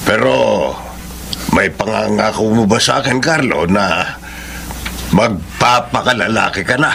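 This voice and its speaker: Gravelly, forceful Filipino father. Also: on the low side, a little slow, a little hiss or hum, full character voice. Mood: protective. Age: middle-aged. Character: stern but supportive, protective father of a grown daughter. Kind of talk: radio drama acting.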